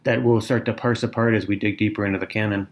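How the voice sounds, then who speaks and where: A man's voice: lowish voice, little pitch movement, fast. A man co-hosting a podcast, chatting casually and thoughtfully. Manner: conversational, thoughtful, with fillers. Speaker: podcast co-host. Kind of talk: casual chat.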